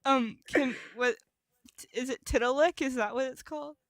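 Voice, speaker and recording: A woman's voice: sits high, moderate pace, no room sound, studio quality. A woman chatting casually as a podcast co-host, with a silly, cheeky, giggly manner. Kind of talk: casual chat.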